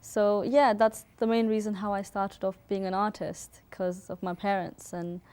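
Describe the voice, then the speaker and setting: A woman from Sri Lanka speaks as a guest in a casual interview. Her pitch is average for a woman, and she talks informally, sometimes reaching for the right word. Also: flat delivery, moderate pace, a little mellow, clear, resonant tone. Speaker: interview guest, artist and photographer.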